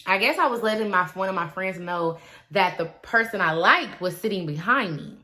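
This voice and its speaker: A woman, talking casually and animatedly to her viewers, swinging between loud asides and quiet trailing remarks. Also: mid-range voice, lively intonation, normal speed. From Milwaukee, Wisconsin. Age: 20s. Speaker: vlogger. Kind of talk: casual confessional vlog.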